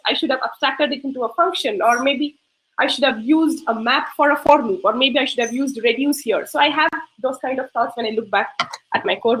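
Bright high-voiced woman presenting online. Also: low-quality recording. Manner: laughs often, warm and earnest. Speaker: coding graduate presenting. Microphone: video call.

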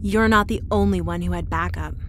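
A woman's voice: fairly low pitch, a little fast, slight background noise, natural voice. A woman playing a cold, deadly henchwoman in an audio horror drama, terse and coolly threatening.

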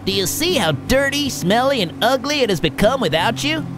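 Calm, encouraging cartoon tow truck voice acting. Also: medium pitch, normal speed, over music, close to an everyday voice. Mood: reassuring. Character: Sawyer, kind, reassuring cartoon tow truck.

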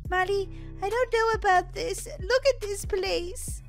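A woman's voice: extremely high pitch, unhurried, soft background music. A woman voicing a sassy, sceptical little girl with a childlike, chiding manner in a gaming video. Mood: uneasy.

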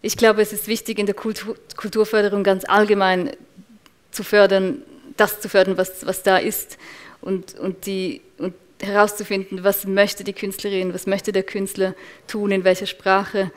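A woman's voice: medium pitch, little pitch movement, moderate pace. A woman in her thirties with a Swiss accent, answering on a panel in a thoughtful way, with many restarts. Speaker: panel guest, culture officer. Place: event room with large windows.

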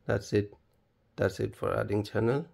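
Low, husky, monotone man giving instructions. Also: moderate pace. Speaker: tutorial presenter. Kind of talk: step-by-step spoken tutorial, unscripted.

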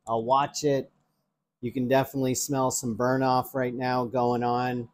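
A man's voice: medium pitch, flat delivery, a little slow. Casual man demoing a kitchen gadget. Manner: chatty, explains while handling gadgets, frequent ums and restarts. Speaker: tech reviewer. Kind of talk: casual product demo. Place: home kitchen by an open window. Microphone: lapel mic.

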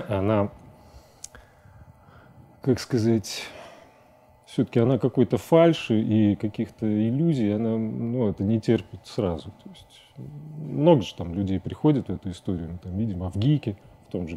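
A man in his forties giving halting, thoughtful answers as a guest in a studio interview. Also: lowish voice, animated, darkish tone, husky. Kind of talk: reflective studio interview talk. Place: indoor TV studio at a desk.

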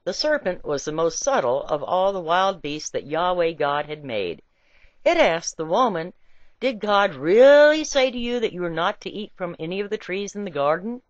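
A woman reading a scripted essay with quotations in a deliberate, emphatic and wry storytelling way. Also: on the low side, big pitch swings, no echo, dull audio. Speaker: reader.

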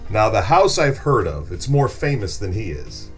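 A man's voice: fairly low pitch, sing-song, moderate pace, faint music behind. A middle-aged man playing a wry podcast host who delivers scripted, sardonic quips in short punchy interjections. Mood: curious, wry. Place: small indoor podcast studio. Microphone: close studio microphone on a stand.

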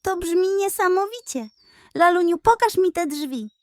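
A woman's voice: extremely high pitch, expressive, unhurried, no room sound, pitched above the performer's own voice. A woman voicing an eager, sweet, brave young girl in a children's storybook. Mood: delighted.

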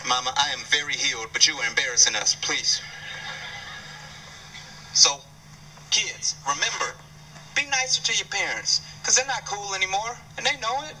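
A man in his thirties from Chicago doing a playful, animated stand-up set and teasing the crowd. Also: a little high, normal speed, pauses often. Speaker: stand-up comedian. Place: stage in a large indoor venue. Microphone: handheld microphone. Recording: distant stage mic, faint room noise.

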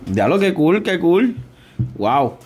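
A man's voice: high voice, very animated, takes it slowly, a little mellow, slight background noise. A Puerto Rican man in his thirties hosting a casual studio interview, joking and laughing often, full of slang. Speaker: podcast host. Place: small studio with brick-look wall. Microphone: desk boom mic.

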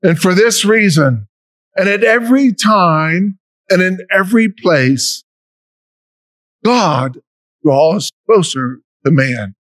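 An older American deacon delivers a homily at a lectern in a large church, his voice sitting high for a man. He speaks slowly and deliberately, leaning into key words. The sound is close and dry. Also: no room sound. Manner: slow, measured preaching with emphatic rises. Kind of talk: sermon.

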